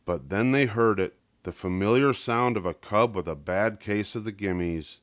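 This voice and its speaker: An older man narrates a children's storybook. His voice sits low, and the recording is dry, with hardly any room around it. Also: normal speed, no room sound. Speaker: storybook reader.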